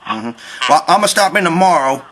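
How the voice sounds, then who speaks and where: A man's voice: on the high side, animated, normal speed, fairly bright tone, slight background noise. A man voicing a folksy, persistent Southern construction worker making a rambling, mock-polite complaint on a prank phone call. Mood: aggrieved, insistent. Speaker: prank caller.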